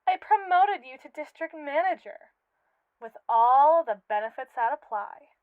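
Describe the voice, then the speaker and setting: A woman's voice: high-pitched, lively intonation, unhurried, no room sound, phone-quality sound. A woman voicing a haughty, manipulative captive robot in a scripted ASMR roleplay, speaking in a pompous, wordy, theatrically indignant way. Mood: haughty, boastful.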